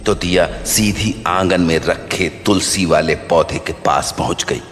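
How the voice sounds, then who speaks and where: A man's voice: low voice, measured pace, fairly bright tone. A man narrating a scripted horror story as the storyteller. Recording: long reverb over background music.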